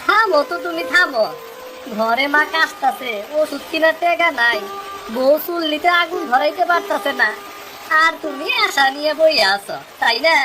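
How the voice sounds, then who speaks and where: A woman's voice: sits high, animated, normal speed, noisy background. A woman voicing a poor, bitter young fisherman with an East Bengali accent, complaining in a plaintive way. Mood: exasperated.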